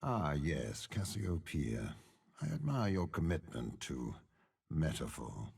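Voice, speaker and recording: A super deep raspy old warlord from a game, cold n menacing, really slow n commanding. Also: male voice, huge pitch swings, darkish tone, heavily acted. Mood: imperious, dry. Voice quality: gravelly, raspy. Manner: slow, measured, menacing, eloquent. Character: commanding, cold, cunning older male warlord with demonic power. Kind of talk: dramatic game character voice lines.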